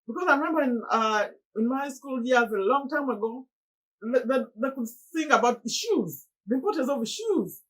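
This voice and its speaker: A middle-aged woman from Uganda with a Ugandan accent, talking earnestly to her viewers in a motivational monologue, often pleading and with sudden high exclamations. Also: slightly rough, poor audio. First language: Luganda. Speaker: motivational YouTuber.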